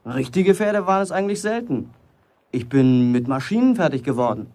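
A proud, wary teenage village apprentice in a radio play. He is terse and dry, stubbornly sure of himself, with an animated melody to his speech. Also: medium pitch, normal speed. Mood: boastful, musing. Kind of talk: German radio drama acting.

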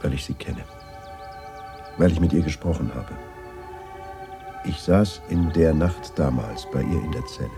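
An actor in a radio drama playing a weary, defensive war survivor who speaks in a subdued, evasive way. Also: male voice, extremely low pitch, unhurried, pauses often, music in the background. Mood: quietly shaken. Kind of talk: 1980s German radio drama dialogue.

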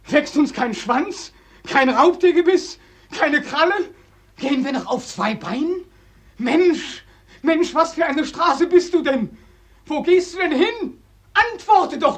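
An actor playing a bitter, exhausted young man back from war in a radio drama, anguished and rhetorical, with a breathy, strained voice. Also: extremely high pitch, a bit bright, no echo, heavily acted. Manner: anguished, rhetorical, repeating himself. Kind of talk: German radio drama acting.

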